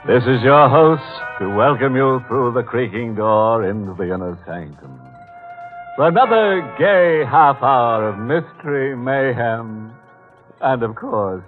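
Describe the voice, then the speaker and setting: An actor playing a ghoulish, mock-friendly horror host in 1940s radio style, drawing out his words with theatrical, macabre relish. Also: medium pitch. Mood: gleefully macabre. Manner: theatrical, drawn-out, macabre deadpan humor. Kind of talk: 1940s radio horror hosting and scripted narration. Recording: tinny old-time radio recording with music.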